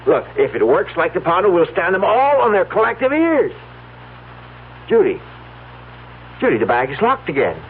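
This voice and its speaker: A man acting an excitable, determined husband at a farmhouse, halting and exclamatory, in a 1970s radio drama. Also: sits high, slight background noise, full character voice. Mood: eager. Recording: muffled, humming old radio recording.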